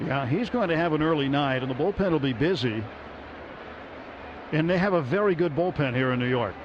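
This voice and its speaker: A man's voice: on the high side, a little fast, noisy background. Male radio baseball announcer, muffled broadcast. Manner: steady, rises sharply on big plays. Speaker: play-by-play announcer. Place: ballpark broadcast booth.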